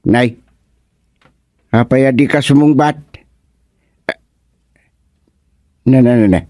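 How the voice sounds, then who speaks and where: Guy doing a bumbling village man in radio comedy, stammery, slowish, dry sound. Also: medium pitch, mellow, dry recording, natural voice. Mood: puzzled, concerned. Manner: stammering, exclaiming. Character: startled, bumbling village man talking to a frog. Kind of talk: comedy radio drama acting.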